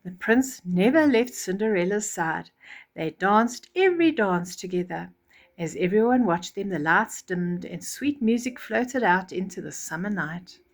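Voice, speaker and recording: A woman's voice: medium pitch, a little slow. A woman storyteller reading a children's fairy tale expressively, with chatty asides and acted-out reactions. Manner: animated, acts out reactions.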